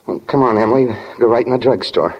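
An actor playing an earnest, bashful small-town teenage boy in love, halting and sincere, in a 1940s radio drama. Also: mid-range voice, dull audio, noisy background, natural voice. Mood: eager, bashful.

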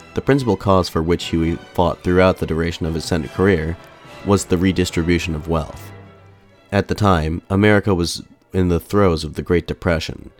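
Deep-voiced man narrating history, dry sound. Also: a little mellow, no echo. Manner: measured, formal storytelling. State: slightly off voice after a mild illness. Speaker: podcast host.

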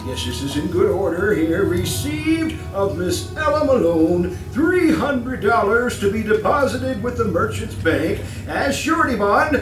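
A man's voice: sits high, sing-song, measured pace, few pauses, recorded from a distance. A man acting in a live old-time radio horror play as a falsely genial, fussy employer who chuckles, stammers and repeats himself with hidden menace. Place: live stage performance. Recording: roomy stage from afar, music behind.

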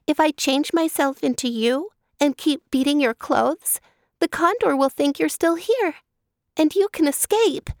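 A woman voicing a small, kind female frog with a bit of magic, speaking gently. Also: high voice, no room sound, studio quality, pitched above the performer's own voice.

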